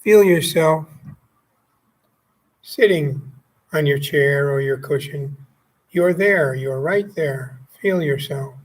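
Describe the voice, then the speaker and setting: Slow-paced man guiding meditation. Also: a little high, low-quality recording. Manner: calm, gently instructive, pausing between short phrases. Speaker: meditation teacher. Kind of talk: guided meditation.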